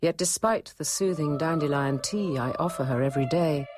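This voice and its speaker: An actress playing a watchful, compassionate middle-aged nun, narrating her story in a measured, intimate radio drama style. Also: deep voice, background noise, close to an everyday voice. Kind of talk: scripted first-person radio drama narration. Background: music.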